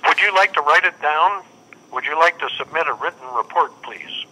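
A man's voice: fairly high pitch, expressive, moderate pace, pushed and bright, breathy edge. A man answering a UFO reporting hotline on a phone call, patient and formal, often saying "sir" and "please".

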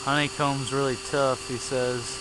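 A man's voice: mid-range voice, level tone, unhurried. A man giving matter-of-fact, casual running commentary as he films a bee removal outdoors under trees. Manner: plain, matter-of-fact play-by-play. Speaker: camera operator narrating a bee removal. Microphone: handheld camera mic. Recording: camcorder audio with clear hum and background noise.

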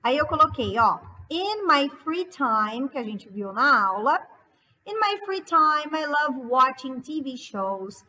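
A woman's voice: high-pitched, sing-song, slow pace, pushed and bright, low-quality recording. A woman in her thirties with a Brazilian accent, giving a chatty, informal English lesson as an online teacher, translating line by line and repeating phrases for emphasis. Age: 30s. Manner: chatty, instructive, emphatic repetition.

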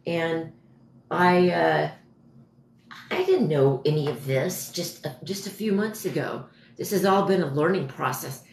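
A woman in her forties with a hoarse voice, chatting casually and haltingly as she answers viewers on a livestream. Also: low-pitched, animated, a little hiss or hum. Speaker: livestream host. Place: dim living room at home, indoors. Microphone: phone propped a step or two away. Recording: echoey room, phone at a distance.

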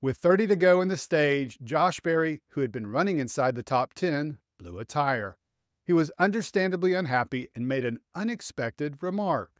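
A man's voice: fairly high pitch, expressive, normal speed, dry recording. A middle-aged man presenting scripted motorsport opinion commentary into a close studio microphone. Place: small home studio room. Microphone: close studio microphone on stand.

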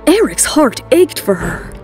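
A woman's voice: high-pitched, very animated, unhurried. A woman reading scripted, dramatic third-person narration for an audio drama. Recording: music bed under the voice. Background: background music.

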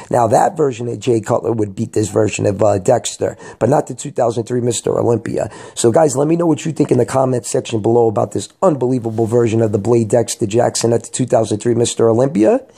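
A man with a voice hoarse from a cold, giving emphatic, colloquial commentary as an enthusiastic vlogger. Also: medium pitch, a little fast, hardly any pauses, dark tone.

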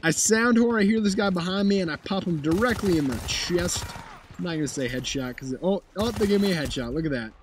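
A hoarse young man commenting on his own gameplay footage, blunt and sarcastic, swearing now and then. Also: high voice, fairly quick, slight background noise. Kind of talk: casual game commentary over footage.

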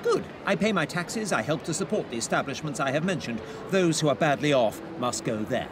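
Actor as a scornful, curt miserly businessman, animated delivery. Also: on the high side, a little fast, over music, close to an everyday voice. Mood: irritated. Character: miserly, irritable businessman uncle who scorns Christmas. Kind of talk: modern audio drama acting. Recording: noisy urban and vehicle ambience behind.

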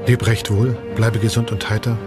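An actor playing a composed, resolute imprisoned revolutionary in a docudrama, speaking in solemn, measured, formal phrases. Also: male voice, fairly low pitch, level tone, lightly acted. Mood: tender, reassuring. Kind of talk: German docudrama acting, letter voice-over. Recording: loud music over the voice.

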